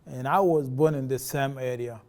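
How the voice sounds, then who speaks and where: A man in his forties from South Sudan, with a South Sudanese accent, recalling his village childhood in a halting way with many fillers and restarts. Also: mid-range voice, darkish tone. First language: a South Sudanese language. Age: 40s. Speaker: interviewee. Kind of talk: personal testimony.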